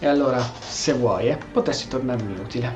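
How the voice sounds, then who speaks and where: A man's voice: medium pitch, fast. A man with an Italian accent giving casual, informal gameplay commentary as a streamer. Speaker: gaming streamer. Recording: roomy echo, faint music behind.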